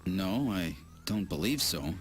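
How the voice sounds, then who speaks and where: A man's voice: sits low, lively intonation, takes it slowly, faint music behind. A man playing a casual, wry young-at-the-job detective hero in a video game, often questioning. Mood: wry, curious.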